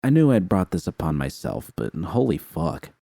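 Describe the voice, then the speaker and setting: A man narrating a first-person fan story in a steady, unhurried reading. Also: low-pitched, creaky, no echo. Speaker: fan fiction reader.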